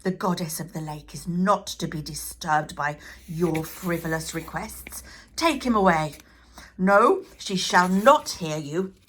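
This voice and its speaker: A woman voicing a stern, haughty male high priest in a storybook, imperious and threatening. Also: unhurried, fairly bright tone, slight background noise. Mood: angry, threatening.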